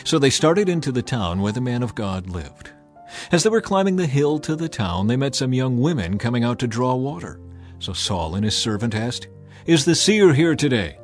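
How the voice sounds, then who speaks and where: A man's voice: mid-range voice, lively intonation, normal speed. A man narrating scripture as a scripted, dramatized audio reading. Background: music.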